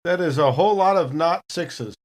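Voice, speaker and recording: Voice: medium pitch, normal speed. A tabletop roleplaying player casually bantering and describing what their character does.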